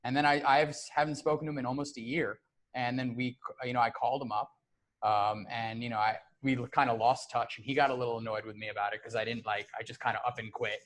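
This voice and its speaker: A man's voice: mid-range voice, steady tone, quick pace, a bit bright. A man in a casual podcast chat, telling a story in an easygoing way, often dropping "man" and "you know".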